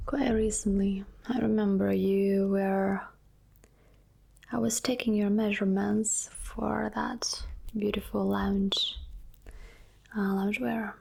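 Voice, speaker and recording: A woman speaking softly and breathily in an ASMR medical roleplay, often slipping into a whisper, gentle and reassuring, close to the microphone. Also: mid-range voice, level tone, vocal fry, breathy edge. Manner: gentle, reassuring, unhurried, softly checking with okay. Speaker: ASMR performer playing medical specialists in roleplay. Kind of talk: soft-spoken ASMR medical roleplay, close-up personal attention. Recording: close-up mic with faint background noise.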